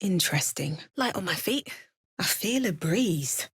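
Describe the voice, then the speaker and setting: An actress playing an adventurous, wry woman hero in a fantasy video game, with a measured, understated delivery. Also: on the low side, animated, a bit bright, husky, dry recording, studio quality, full character voice. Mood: musing, wry. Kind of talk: scripted fantasy game dialogue.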